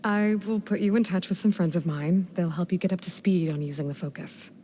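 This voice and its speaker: An actress playing a frank, loyal young heroine in a video game, speaking earnestly and directly. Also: female voice, lowish voice, a little fast, warm tone, a little hiss or hum, natural voice. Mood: reassuring. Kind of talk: video game cutscene acting.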